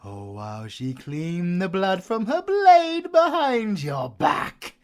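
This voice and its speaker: A flamboyant British werewolf villain in an audio drama. He drawls slowly and theatrically, with long pauses and big swings in pitch. He sounds smug, mocking and cruel. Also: very animated, full character voice. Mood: gloating. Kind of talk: audio roleplay acting.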